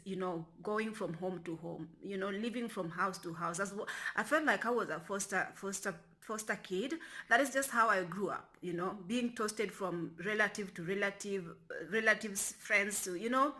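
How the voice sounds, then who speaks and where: A young woman from Kenya chats loosely to her camera, wandering off mid-sentence and starting over. Her voice is bright and forward, and she often drops to a hushed, near-whispered murmur. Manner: rambling, restarts often, lowers to a near whisper. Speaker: vlogger. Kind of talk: casual talking-to-camera vlog.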